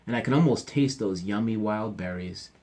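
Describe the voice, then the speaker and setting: A man voicing an excited little girl bear cub in a storybook read-aloud. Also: on the low side, moderate pace, lightly acted.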